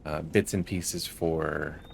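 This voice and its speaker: A man's voice: a bass voice, steady tone, takes it slowly, vocal fry, faint music behind. A man giving explanatory walkthrough commentary as the host of a gaming video. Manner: explanatory, reads game text aloud.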